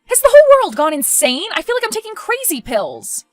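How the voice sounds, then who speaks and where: A woman's voice: high-pitched, very animated, moderate pace, fairly bright tone, no echo, faint music behind. A woman playing a younger woman who feels accused, defensive and indignant in dramatic dialogue. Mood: pleading, exasperated.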